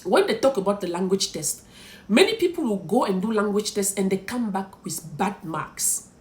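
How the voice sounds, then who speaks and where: A woman's voice: medium pitch, big pitch swings, moderate pace, darkish tone. A middle-aged woman from Cameroon whose first language is French, giving emphatic immigration advice to viewers and posing rhetorical questions. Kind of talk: direct-to-camera advice talk.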